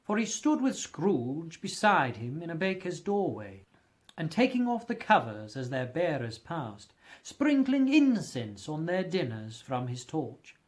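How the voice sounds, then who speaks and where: Guy reading an audiobook, high-ish voice, very animated and theatrical, dramatic storyteller. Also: measured pace. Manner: theatrical, expressive. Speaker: audiobook narrator. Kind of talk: dramatic scripted storybook narration.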